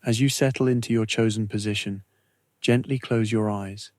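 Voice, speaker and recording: A man narrating a scripted guided meditation in a measured, soothing way, with deliberate phrasing and pauses. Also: on the low side, steady tone, a little mellow, dry recording.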